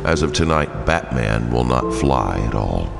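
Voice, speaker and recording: An actor playing a brooding, weary vigilante in an audio drama, gravelly, terse and grave. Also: male voice, extremely low pitch, lively intonation, measured pace, exaggerated voice. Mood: stern, resigned. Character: brooding, weary adult male vigilante crime fighter. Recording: long reverb, music behind.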